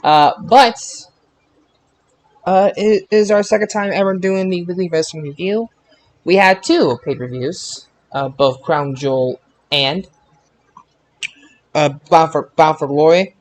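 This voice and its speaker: A man with a slightly high voice hosts a casual podcast discussion. His delivery is hesitant and halting, often doubling back on words. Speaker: podcast host. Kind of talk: hosting a casual group wrestling discussion.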